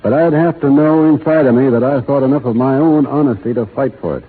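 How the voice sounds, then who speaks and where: An actor playing a stoic, principled American cowboy foreman in a 1930s radio drama, soft-spoken, folksy and restrained. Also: mid-range voice, fairly quick, husky, lightly acted. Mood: grave, resolute. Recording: muffled, narrow-band old radio recording.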